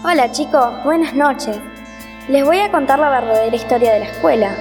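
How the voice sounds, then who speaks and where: Woman as a calm, high-voiced school carer. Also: moderate pace, faint music behind. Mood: solemn. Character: calm, reassuring school staff member looking after children. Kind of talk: radio play acting.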